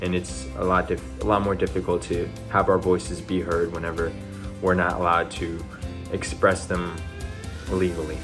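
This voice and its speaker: A man's voice: deep voice, flat delivery, moderate pace. A young man from California, a college student speaking seriously and reflectively in an interview. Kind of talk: interview answers. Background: guitar music.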